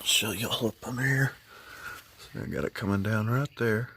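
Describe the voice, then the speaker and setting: A man's voice: fairly low pitch, moderate pace, creaky, sharp tone, a little hiss or hum. A man giving a casual, hands-on video walkthrough in a laid-back, folksy way. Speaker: hobbyist vlogger showing a truck install.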